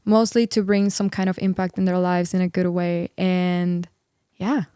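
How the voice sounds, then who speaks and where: A woman in her twenties from Peru talks as a guest in an earnest, upbeat way. She fills her speech with "like" and "you know." Her voice is smooth and clear, and her tone stays fairly even. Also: fairly low pitch, normal speed, dry recording, studio quality. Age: 20s. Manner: earnest, upbeat, filler-heavy with many "like"s. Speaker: singer and worship leader guest. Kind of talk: casual interview chat.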